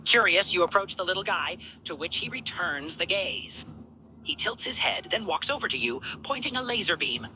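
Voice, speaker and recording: A woman's voice: on the low side, very animated, normal speed, pushed and bright, a little hiss or hum, poor audio. A woman reading a fan-fiction story aloud as narrator.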